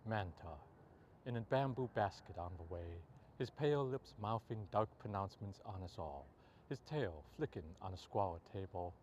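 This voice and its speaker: Man, lowish voice, poet reciting free verse, deliberate phrasing, faint background noise. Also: darkish tone, muffled recording. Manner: measured delivery in short, deliberate phrases.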